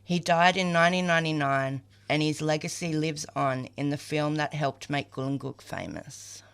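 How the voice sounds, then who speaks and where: A woman's voice: sits low, normal speed, a bit bright, clean tone. An Australian woman reading scripted tributes as a podcast reader.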